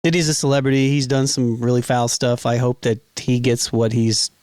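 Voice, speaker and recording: A man's voice: medium pitch, flat delivery, normal speed, darkish tone, no room sound, studio quality. A man co-hosting a podcast, speaking in a laid-back, matter-of-fact way. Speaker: podcast co-host. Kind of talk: casual chat.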